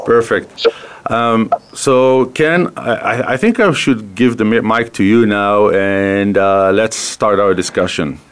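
A man's voice: fairly low pitch, takes it slowly, a little hiss or hum. Israeli-accented man hosting a radio show. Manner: hesitant, many fillers and restarts. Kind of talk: hosting a radio business interview. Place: radio studio.

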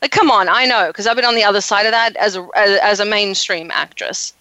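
A bright, forceful woman hosts a casual radio interview. She is outspoken and emphatic but conversational, with a mid-range pitch and a close, dry studio-like sound. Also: brisk, dry recording, poor audio. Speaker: radio show host.

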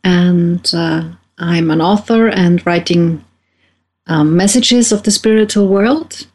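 A woman from Austria with an Austrian German accent, a co-host on a radio talk show who speaks haltingly with many ums and restarts. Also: on the low side, a little mellow. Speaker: co-host, self-help teacher.

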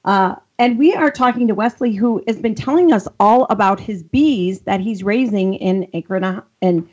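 Chicago woman co-hosting a podcast, mid-pitched voice, long chatty questions, slightly dull recording. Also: normal speed, a little mellow. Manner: friendly, asks long rambling questions. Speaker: podcast co-host. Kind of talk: conversational interview hosting.

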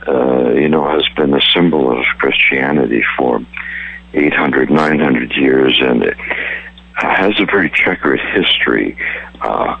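A man who grew up in France, calling in to a talk radio show. His voice is extremely deep, and he talks haltingly, filling pauses with "uh". The audio is tinny and narrow, like a phone line. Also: gravelly. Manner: halting, many uh fillers, storytelling. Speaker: caller. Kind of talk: casual talk radio discussion.

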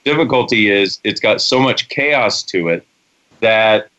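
A man co-hosts a radio talk show with a bright, forceful voice that sits a little low. He jokes and laughs easily, then settles into long explanations for a caller. The audio is dull and lo-fi. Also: lively intonation, unhurried. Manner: jokey, laughs often, then explains at length. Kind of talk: casual radio chat.

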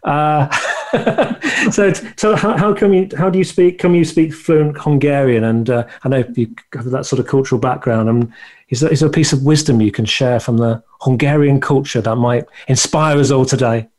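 A man from England hosting an interview show on a video call, speaking in a halting way with many restarts. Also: medium pitch, a little mellow. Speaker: podcast host. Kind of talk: casual interview chat.

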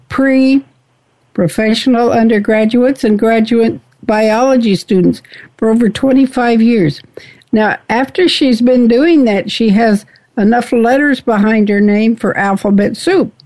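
A woman hosting a radio talk show, speaking slowly and unhurriedly. Her voice sits a little high and comes across folksy and chatty. The sound is close and dry, with no room around it. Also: a little mellow, no echo. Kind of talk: casual talk radio interview.

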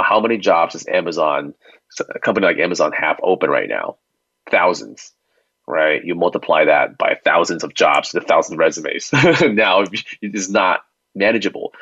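A man's voice: low-pitched, gravelly, fairly bright tone, dry recording. A man speaking as an expert guest in an interview, explaining things conversationally step by step and checking in as he goes. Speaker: expert guest, company founder. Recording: muffled, dry lo-fi audio.

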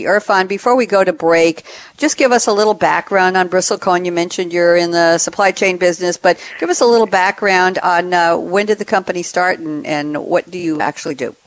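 A woman's voice: on the low side, no echo. A woman hosting a radio talk show from her home office, chatty and upbeat. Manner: chatty and upbeat, teasing sign-offs. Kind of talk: lively radio host chat.

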